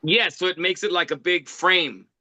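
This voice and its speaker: A man's voice: moderate pace, bright, forceful tone. A man guest in a casual chat, making dry teasing jokes in a small, whiny voice. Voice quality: tiny, high-pitched, whiny voice.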